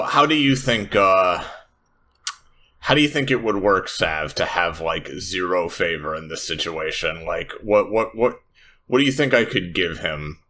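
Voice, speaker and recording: A man with a deep but bright, forward voice talks casually as a player in a tabletop roleplaying game. He is tentative, restarting phrases as he works out what his character would do. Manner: tentative, halting, repeats words while thinking aloud. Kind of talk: casual improvised game talk.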